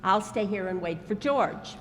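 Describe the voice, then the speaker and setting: An actress playing a cheerful, patient older sister who keeps smoothing over her husband's rudeness. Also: mid-range voice, lively intonation, moderate pace, slight background noise, close to an everyday voice. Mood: upbeat. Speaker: amateur radio actor. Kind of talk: old-time radio comedy acting. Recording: live stage hall reverb.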